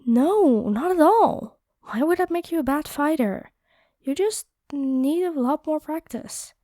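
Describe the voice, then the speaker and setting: A woman playing a kind, encouraging vampire fighter in a roleplay audio drama, speaking gently and earnestly. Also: sits high, animated, measured pace, no echo, clean studio sound.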